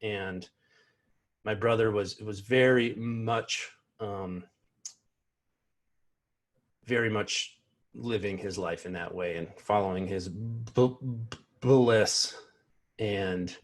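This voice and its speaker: A man in his thirties who stutters gives a personal keynote talk over a video call from a small room at home, slow, earnest and reflective, often restarting his words. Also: on the low side, steady tone. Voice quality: stutters, with repeated sounds and blocks. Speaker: keynote speaker. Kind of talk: personal talk at an online convention. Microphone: earphone mic on a video call.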